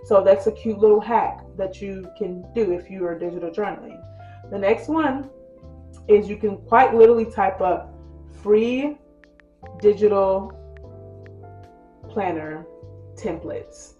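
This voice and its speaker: A woman vlogger giving a casual tutorial, chatty and full of fillers, a bit congested from a cold. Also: mid-range voice. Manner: addresses viewers as "girl" and "you guys". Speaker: lifestyle vlogger. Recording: dull roomy recording with soft music.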